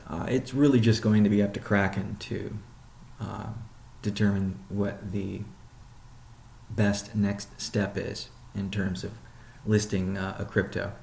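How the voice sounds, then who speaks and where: A man leading an informal business update call as a chief executive, explaining things in a measured way with pauses mid-sentence. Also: low-pitched, gravelly, a little mellow, slight background noise. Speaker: company CEO hosting a weekly update call. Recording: roomy, echoing sound.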